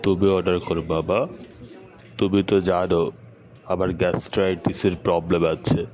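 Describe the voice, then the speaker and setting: A man playing a caring, gently coaxing middle-aged father in an amateur educational cartoon. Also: low voice, little pitch movement, moderate pace, crowd noise, lightly acted. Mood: gently persuading.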